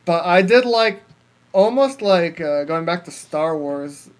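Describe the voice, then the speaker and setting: A man with a notably high voice talks as a podcast co-host. His delivery is casual and blunt, with a lot of loose swearing. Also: lively intonation, slow pace. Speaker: podcast co-host reviewing films. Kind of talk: casual chat.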